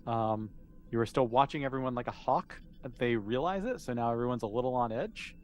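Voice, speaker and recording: A man's voice: medium pitch, a little fast, a little mellow. Man game-mastering casually, noisy recording. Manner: conversational, improvising as they go. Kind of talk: casual tabletop game narration.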